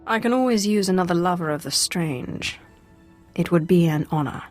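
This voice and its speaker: An actress playing a cool, commanding Sith inquisitor in a video game, composed, dry and formal. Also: female voice, lowish voice, lively intonation, moderate pace, darkish tone, faint music behind, lightly acted.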